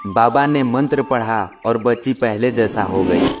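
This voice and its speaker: A man's voice: medium pitch, a little slow. A man narrating a scripted moral tale for children as the storyteller. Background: background music.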